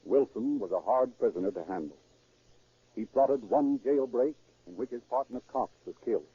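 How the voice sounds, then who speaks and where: A man with an American accent announcing and narrating a 1930s radio programme in a formal, measured, scripted style. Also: mid-range voice, expressive, mellow, breathy edge, no echo. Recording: muffled, worn 1930s radio recording.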